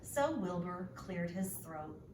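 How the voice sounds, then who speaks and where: A woman narrating a children's storybook as a teacher reading aloud, deliberate and expressive. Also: on the low side. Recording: echoey room, distant mic, faint noise.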